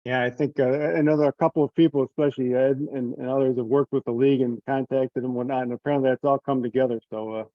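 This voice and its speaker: A man's voice: medium pitch, fairly even intonation, fast, few pauses, dry recording. A man informally presenting slides in an online meeting, thinking aloud in a hesitant way. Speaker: telecon presenter walking through slides. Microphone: online conference call. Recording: narrow tinny conference-call line.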